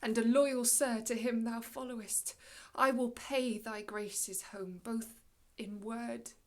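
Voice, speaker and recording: A woman acting an aging, commanding sorcerer in a classical Shakespearean stage monologue, with measured, dramatic delivery and long pauses. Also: on the high side, steady tone. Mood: solemn, tender.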